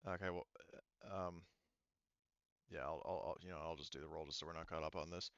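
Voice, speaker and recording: A man casually running a tabletop roleplaying game as game master, often thinking out loud. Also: rumbling low, fairly even intonation, a little fast, dry recording, highs cut off.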